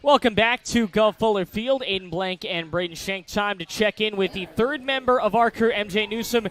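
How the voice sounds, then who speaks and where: A man's voice: high-pitched, normal speed, a bit bright. A man announcing a live sports broadcast and handing off to a colleague.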